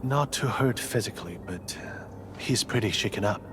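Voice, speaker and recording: An actor playing a weary, dry, self-deprecating field surgeon in a video game. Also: male voice, lowish voice, moderate pace, lightly acted. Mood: cautioning. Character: weary, frank male field surgeon in charge. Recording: clear music behind the voice.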